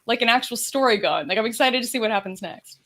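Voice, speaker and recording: Female, somewhat high voice, informal conversational delivery, lots of filler "like". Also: animated, fast, fairly bright tone. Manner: informal, frequent "like". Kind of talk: casual chat.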